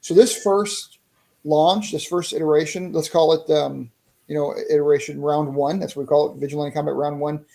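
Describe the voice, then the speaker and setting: A man with a fairly high but very mellow, soft-edged voice. He talks as an interview guest in a casual podcast style, explaining things eagerly and doubling back on his phrases. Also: flat delivery, normal speed, gravelly. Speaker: interview guest, indie comic creator promoting a fighting game.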